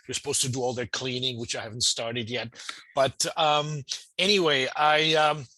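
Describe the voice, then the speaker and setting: A middle-aged man from Austria with an Austrian accent, introducing himself in a relaxed, friendly way during an online presentation. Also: mid-range voice, moderate pace, no room sound. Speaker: cruise line vice president. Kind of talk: webinar introduction.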